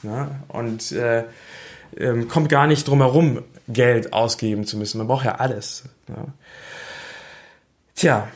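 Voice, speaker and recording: A man's voice: medium pitch, fairly even intonation, takes it slowly, pauses often. A young man from Berlin talking casually about himself, with lots of hesitant fillers. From Berlin, Germany. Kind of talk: casual self-introduction.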